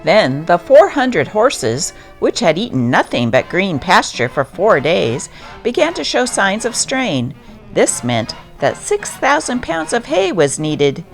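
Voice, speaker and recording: A woman's voice: medium pitch, wildly swooping pitch, takes it slowly. A woman hosting and reading old newspaper articles aloud as scripted narration. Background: music.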